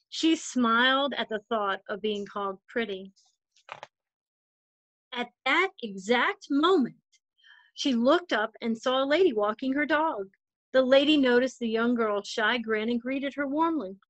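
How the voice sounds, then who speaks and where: High-voiced woman reading a children's story. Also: fairly bright tone, no echo, highs cut off. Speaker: volunteer storytime reader.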